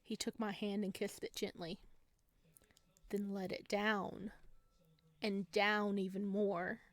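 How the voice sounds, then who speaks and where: Brief: female game streamer, giggly, slow and unhurried, higher voice, dry close mic. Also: flat delivery, no echo. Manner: giggly, chatty, reads narration aloud. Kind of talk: visual novel playthrough, reading and commentary.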